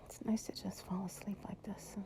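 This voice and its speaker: A woman speaking softly, often in a breathy whisper, in an intimate, affectionate and soothing improvised girlfriend ASMR roleplay. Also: moderate pace. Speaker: audio roleplay performer playing an affectionate girlfriend.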